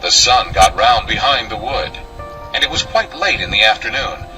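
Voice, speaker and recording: A man narrates a children's storybook with a very lively, swooping delivery. His voice sits at a medium pitch and sounds a little away from the microphone, with music clearly playing behind him. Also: moderate pace, far from the mic, low-quality recording.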